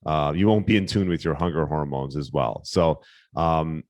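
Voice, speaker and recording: A man with a very low, slightly hoarse voice, giving fitness tips on his podcast in a relaxed, rambling way. He pauses on fillers and restarts his sentences. The sound is dry and close to the mic. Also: no echo, muffled recording. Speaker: podcast host, fitness coach. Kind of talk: casual solo podcast tips.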